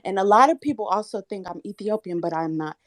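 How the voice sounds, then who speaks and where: A woman's voice: medium pitch, expressive, fast. A woman in her thirties from the Southern United States, calling into a live talk room and speaking earnestly with a slightly shaky voice. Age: 30s. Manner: soft-spoken, earnest, grows firm and insistent when challenged. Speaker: caller. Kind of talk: live audio chat room.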